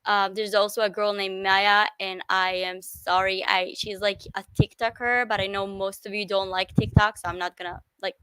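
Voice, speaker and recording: A woman's voice: medium pitch, a bit bright, clear, resonant tone, no echo, rough recording. A woman with an Israeli accent and Hebrew as her first language, hosting a casual live stream in hesitant English with frequent self-corrections.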